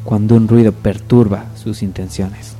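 A man's voice: lowish voice, level tone, unhurried, dark tone. A man narrating a scripted radio soap opera as its storyteller. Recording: music behind the voice. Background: music.

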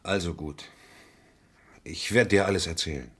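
A man's voice: deep voice, moderate pace, a bit bright, husky. An actor playing an evasive male murder suspect, defensive and hesitant as he pleads to be believed, in a vintage German crime radio play. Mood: pleading.

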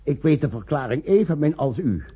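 Stubborn professor, raspy, formal, animated intonation, radio play, dull recording. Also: male voice, on the high side, fairly quick. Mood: indignant. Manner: formal, curt, indignant. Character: firm, principled male physics professor. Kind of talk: radio drama acting.

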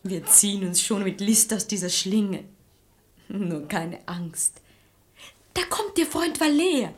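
A woman playing a sharp-tongued, resourceful maidservant in a German radio verse comedy, brisk, exasperated and commanding. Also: mid-range voice, animated. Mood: knowing, reassuring. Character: sharp-tongued, resourceful maidservant, bossy matchmaker of quarrelling lovers.

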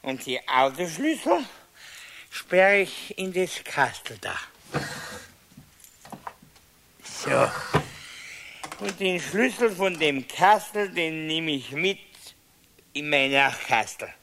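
An actor playing a tipsy, blustering husband with a Bavarian accent in a radio play, talking in a muttering, scornful drawl. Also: male voice, on the high side, animated, slow, creaky, bright, forceful tone, slight background noise, full character voice. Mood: muttering, smug. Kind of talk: 1970s radio play acting.

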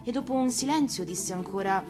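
A woman's voice: mid-range voice, fairly quick. Woman narrating a storybook over music. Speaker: actress reading a fairy tale on radio.

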